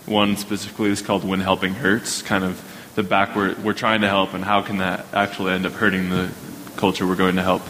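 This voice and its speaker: A man's voice: low voice, completely flat delivery, a little fast, few pauses, a bit bright, slight background noise. A man leading a group discussion, prompting people with short questions and acknowledgements. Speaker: class discussion leader.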